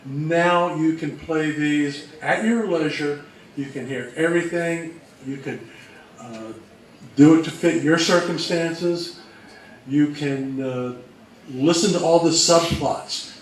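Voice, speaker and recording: Higher-voiced man presenting in an echoey room. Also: slow pace. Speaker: co-presenter at an old-time radio convention talk. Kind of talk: informal explanatory presentation. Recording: echoey, distant, faintly noisy live recording.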